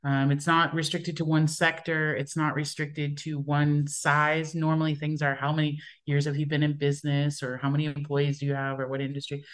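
A woman entrepreneur talking enthusiastically in a discussion, her pace shifting between quick and slow stretches. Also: sits low, little pitch movement. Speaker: entrepreneur guest. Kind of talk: conversational discussion.

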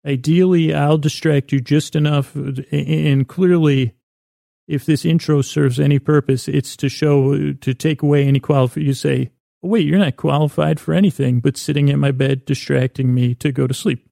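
A man with a gravelly, creaky voice and a soft, mellow tone hosts a bedtime podcast in a close, dry sound. He meanders whimsically from thought to thought, stopping, doubling back and repeating words. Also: fairly high pitch, fairly even intonation, moderate pace, dry recording. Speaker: podcast host. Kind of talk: rambling, whimsical bedtime monologue.

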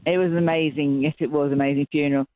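A woman's voice: sits low, steady tone, quick pace, phone-quality sound, background noise. A woman speaking earnestly and from the heart as a guest on a radio show. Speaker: caller, guest. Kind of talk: casual radio chat.

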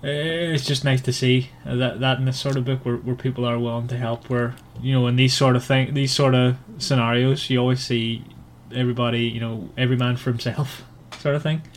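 Male book podcast co-host, casual and halting delivery, some room noise behind. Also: mid-range voice, steady tone.

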